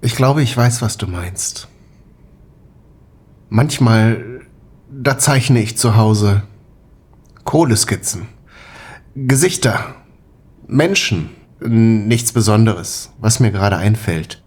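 Casting: young Soviet man, husky, reflective and shy, intimate radio drama dialogue. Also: fairly low pitch, takes it slowly, pauses often, darkish tone. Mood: pensive, tender.

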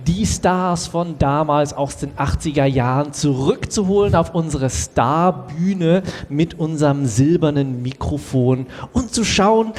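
An ageing children's show host acted by a man greets and questions in a jovial, teasing way. The voice sits fairly high, in an improvised audio play recorded in a long-reverb hall with clear noise. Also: a little mellow.

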